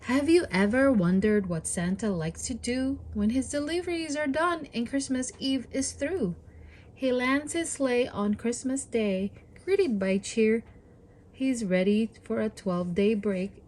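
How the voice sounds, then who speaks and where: A woman with a fairly high voice reads a children's storybook aloud. She takes her time, with very slow, deliberate phrasing. Her delivery is expressive and encouraging, pitched for young listeners. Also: a little hiss or hum.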